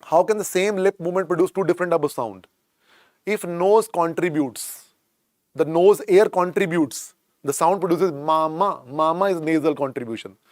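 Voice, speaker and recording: A man's voice: on the high side, dry recording. Indian English male tutor, repetitive drilling delivery, dry close recording. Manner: repetitive, drills phrases, calls students "beta". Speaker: medical exam lecturer. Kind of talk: classroom lecture. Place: lecture room.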